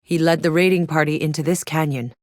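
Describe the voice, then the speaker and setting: A tough, wry female scout played by a woman with a low voice, recorded very dry and close. She delivers terse, matter-of-fact video game lines like a soldier sizing up hostile ground. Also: steady tone, fairly quick, a little mellow, dry recording, lightly acted. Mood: matter-of-fact, focused.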